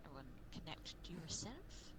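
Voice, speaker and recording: A woman's voice: sits low, big pitch swings, normal speed. A woman in her twenties chatting casually and giving informal instructions as a roleplay group leader, often self-deprecating. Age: 20s. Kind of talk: casual improvised roleplay chat. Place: online virtual-reality voice chat. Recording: clearly noisy online voice chat.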